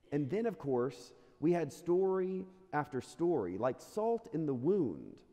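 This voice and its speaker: An American man in middle age delivers a sermon from the pulpit. His voice is mellow and moves freely up and down in pitch. He speaks earnestly and deliberately, and the large sanctuary adds a long reverb. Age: middle-aged. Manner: earnest, deliberate rhetorical delivery with questions and pauses. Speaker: pastor, church rector. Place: large church sanctuary, indoors. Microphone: pulpit gooseneck microphone.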